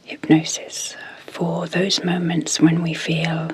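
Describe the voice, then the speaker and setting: A woman speaking softly as an ASMR host, guiding sleep hypnosis in a hushed, gentle, deliberate way with long pauses. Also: fairly low pitch, completely flat delivery, background noise. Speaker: ASMR host and clinical psychologist.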